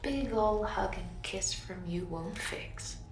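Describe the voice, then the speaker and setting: An actress playing a possessed demonic mother who coaxes in a slow, sinister way. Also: medium pitch, close to an everyday voice. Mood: menacing. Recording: roomy echo with film score.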